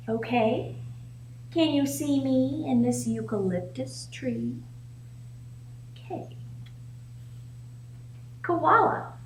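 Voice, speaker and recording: A woman's voice: on the high side, darkish tone. A woman reading a picture book aloud to young children as a storytime host, with an encouraging, sing-song way of prompting her listeners. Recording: echoey room with faint background noise.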